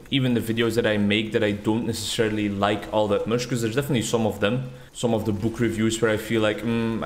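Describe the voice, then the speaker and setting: A man's voice: lowish voice, a little hiss or hum. A young man, a native Dutch speaker, chatting in a rambling, reflective way while commenting on his gameplay. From Netherlands or Flanders. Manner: rambling, reflective, self-deprecating. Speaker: gaming YouTuber. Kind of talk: casual commentary over gameplay.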